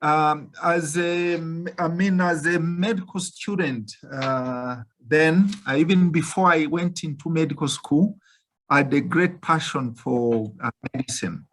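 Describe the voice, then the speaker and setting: A man in his fifties from Zambia, an obstetrician speaking slowly and earnestly as a panelist on an online video call. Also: on the high side, a bit bright, slight background noise. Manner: slow, measured, earnest.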